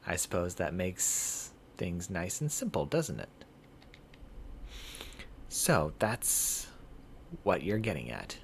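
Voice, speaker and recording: A man's voice: low-pitched, wildly swooping pitch, slow pace, vocal fry, long pauses, slight background noise, exaggerated voice. A man voicing a proud female royal servant in a visual novel, formal and scolding. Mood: cool, collected. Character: proud, indignant female royal servant defending her princess.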